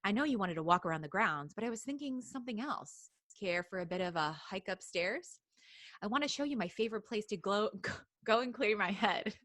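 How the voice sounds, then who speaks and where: Bright voiced woman reading a story out loud on a podcast, goofy and giggly, really dry close sound. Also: medium pitch, animated, brisk, dry recording. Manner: playful, giggly, digresses from the reading. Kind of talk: casual read-aloud of fan fiction with banter.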